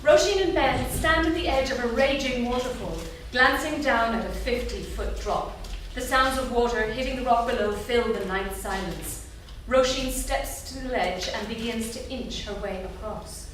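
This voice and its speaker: A woman's voice: a little high, moderate pace, a bit bright. A woman reading stage directions as scripted narration, recorded in an echoey theatre. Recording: echoey theatre, distant mic, noisy.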